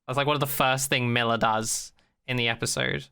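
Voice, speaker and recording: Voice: medium pitch, fairly even intonation, fairly quick, pushed and bright, clean tone. A podcast co-host casually giving critical opinions on a TV show, swearing freely with some dry sarcasm.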